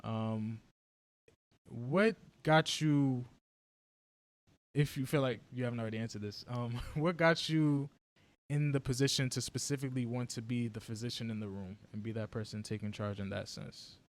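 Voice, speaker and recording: A man's voice: mid-range voice, fairly even intonation, normal speed. A man hosting a podcast interview, introducing his guest with enthusiasm and an easy, friendly laugh. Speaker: podcast host.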